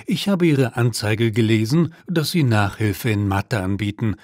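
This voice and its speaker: Guy doing a polite businesslike father in a lesson dialogue, lower voice, dry recording. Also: animated, unhurried, no room sound. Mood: courteous. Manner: courteous, businesslike. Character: polite father seeking tutoring for his daughter. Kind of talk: scripted language-lesson dialogue.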